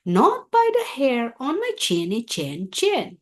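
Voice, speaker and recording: Woman voicing a defiant little storybook pig, high and lively. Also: takes it slowly, exaggerated voice. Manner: crying out in defiance. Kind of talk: children's storybook read-aloud.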